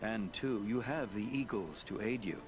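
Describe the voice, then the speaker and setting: Man playing a deep, solemn noble lord. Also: expressive, background noise, lightly acted. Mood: solemn counsel. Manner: grave, measured, stately. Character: wise, solemn, noble lord counselling heroes. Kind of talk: formal fantasy game dialogue.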